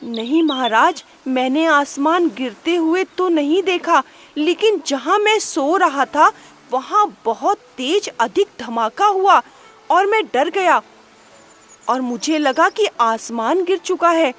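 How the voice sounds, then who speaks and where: A woman's voice: high-pitched, expressive, slow. A woman voicing a panicky young male rabbit in a storybook, talking in frantic, urgent bursts. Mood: timid.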